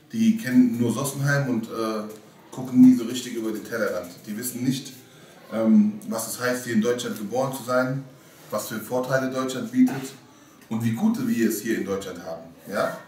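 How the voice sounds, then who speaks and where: A man's voice: medium pitch, darkish tone, a little hiss or hum. A man in his thirties, a youth worker, talking casually and earnestly to teenagers, with many fillers and restarts. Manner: hesitant, filler-heavy, earnest. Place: youth club room with bookshelves. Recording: roomy, from a distance.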